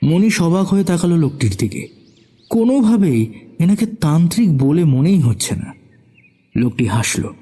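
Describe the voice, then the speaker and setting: Man, story narrator, slightly high yet mellow, dramatic horror narration, music bed. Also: big pitch swings, moderate pace, husky. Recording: background music under the voice.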